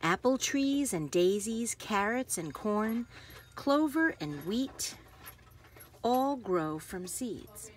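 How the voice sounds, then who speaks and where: A woman reading a children's book aloud with careful, expressive phrasing for young listeners. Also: mid-range voice, slow, a little hiss or hum.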